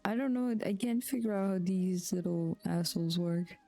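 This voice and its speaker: A woman's voice: lowish voice, moderate pace, mellow. A woman live streaming a game with casual, offhand commentary. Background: game music.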